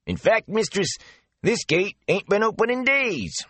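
Guy putting on a high chatty country gatekeeper, rambling folksy gossip, dry close sound. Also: expressive, a little slow, a bit bright, dry recording, heavily acted. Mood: eager. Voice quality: folksy dialect, rambling and eager. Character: gossipy talkative male gatekeeper, rustic.

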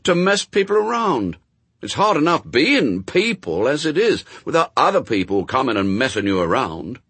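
A man's voice: mid-range voice, big pitch swings, normal speed, fairly bright tone, slightly rough, dry recording, pitched above the performer's own voice, full character voice. A man voicing an earnest, stubbornly reasonable young boy in a story, arguing in plain, childlike run-on sentences. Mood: earnest protest.

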